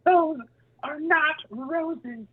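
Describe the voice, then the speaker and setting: A woman voicing an earnest, aggrieved half-giant man with squeaky, drawn-out delivery in an amateur dramatic reading. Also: narrow, tinny audio. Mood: earnest, pleading.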